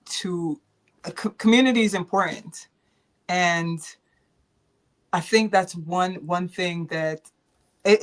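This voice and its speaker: A woman from New York and Jamaica speaking as an interview guest, earnest and reflective, often repeating phrases as she thinks aloud. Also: lowish voice. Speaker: interview guest, performing artist.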